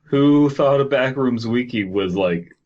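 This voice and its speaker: A man's voice: mid-range voice, a little slow, darkish tone, dull audio. A man hosting a podcast, reading aloud and bantering in a joking, sardonic way. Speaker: podcast host. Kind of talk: casual banter, reading a horror wiki aloud.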